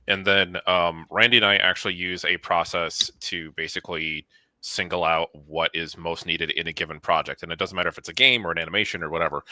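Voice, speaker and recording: A man's voice: low-pitched, bright, forceful tone, no echo. A man in a conversational interview, explaining things emphatically and offering advice to viewers.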